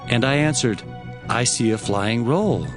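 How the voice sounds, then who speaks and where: A man narrating a dramatized scripture reading in an expressive, declamatory way. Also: medium pitch, unhurried, music in the background.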